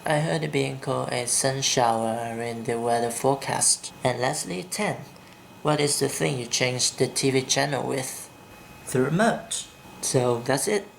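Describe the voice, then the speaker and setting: A man from Vietnam with a Vietnamese accent, talking slowly and deliberately in a home video blog, blunt when he gives his opinions. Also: mid-range voice, vocal fry, a little hiss or hum, rough recording. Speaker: vlogger. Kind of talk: casual video blog, reading a quiz and ranting. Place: indoors at home at night, kept quiet.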